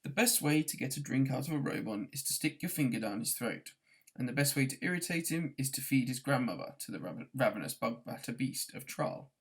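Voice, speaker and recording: A man's voice: medium pitch, lively intonation, brisk, husky. A man reading a novel aloud as scripted storybook narration. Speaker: audiobook reader.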